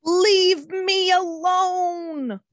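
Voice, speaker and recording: Timid cartoon shrub, played by a woman, slow-paced and high, dramatic and plucky. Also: steady tone, fairly bright tone, no echo, full character voice. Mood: panicked. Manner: dramatic, exclamatory. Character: timid small talking shrub plucking up courage. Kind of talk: animated fantasy table read.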